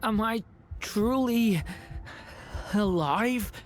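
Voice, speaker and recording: A woman voicing a gentle young dragon boy in a video game, hesitant and earnest, vulnerable but resolute. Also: a little high, extremely slow, slight background noise. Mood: weak, fading. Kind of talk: dramatic video game acting.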